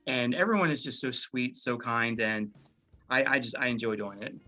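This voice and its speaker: A man's voice: medium pitch, normal speed, bright, forceful tone, soft background music. A man, a guest chatting casually with many fillers and restarts. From Maryland, USA. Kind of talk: casual interview chat.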